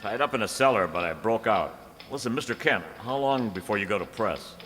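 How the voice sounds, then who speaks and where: A man playing a confident, urgent young newspaper reporter in old-time radio style, brisk and insistent. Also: fairly low pitch, expressive, fairly bright tone, long reverb tail, faint music behind, voice pushed up. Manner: brisk, insistent, clipped commands.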